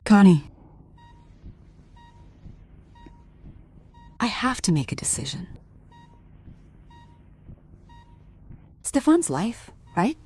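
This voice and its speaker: An actress in a radio play as a distressed married woman confronting a man, husky and breathy, with halting, dramatic pauses. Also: mid-range voice, very animated, dark tone. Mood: brooding, anguished.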